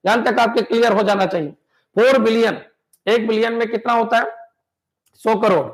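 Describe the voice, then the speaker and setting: A man teaching a computer science exam class, repeating points and quizzing his students with "clear?" as he drills them. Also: very high voice, normal speed, low-quality recording. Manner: emphatic, pushes exam tips and motivation.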